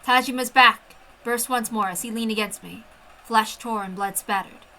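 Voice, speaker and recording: A woman reading a first-person story aloud with an expressive, intense dramatic delivery. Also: a little high, moderate pace, rough recording. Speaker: amateur story reader.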